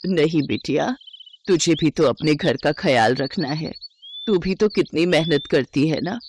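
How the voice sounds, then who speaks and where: A woman's voice: sits low, a little slow, a little hiss or hum, pitched below the performer's own voice. Woman playing a weary, kind old grandmother. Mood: gentle, weary. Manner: affectionate, gently reassuring elder's talk. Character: kind, weary eighty-year-old grandmother selling jamun.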